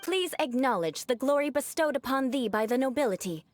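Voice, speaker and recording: A woman's voice: sits high, animated, brisk. A woman playing a proud young noblewoman knight with grandiose, formal aristocratic speech in an anime video game. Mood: haughty.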